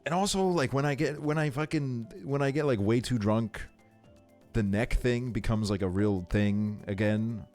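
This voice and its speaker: A man's voice: mid-range voice, moderate pace, a little mellow, soft background music. A man from Estonia livestreaming a video game, chatting casually in slangy, sweary talk. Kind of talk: casual chat while streaming a video game.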